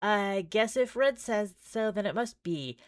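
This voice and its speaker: A woman livestreamer reading a visual novel aloud with casual asides, expressive and giggly, often stumbling and restarting. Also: medium pitch, measured pace, no echo, clean studio sound.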